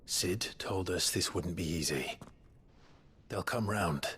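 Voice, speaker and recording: Very deep, grave outlaw. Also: male voice, a bit bright, a little hiss or hum. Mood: resolute. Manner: measured, solemn. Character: grave, resolute outlaw man carrying a heavy burden. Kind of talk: dramatic video game acting.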